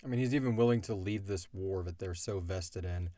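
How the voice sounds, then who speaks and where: A man co-hosting a casual question-and-answer chat, speaking in an animated way and swearing freely. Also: deep voice, brisk. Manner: animated, swearing, acts out imagined dialogue. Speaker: co-host of an audio drama Q&A show.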